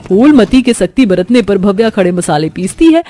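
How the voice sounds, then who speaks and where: A woman's voice: medium pitch, lively intonation, moderate pace, warm tone. A woman storyteller reading scripted narration of a moral tale.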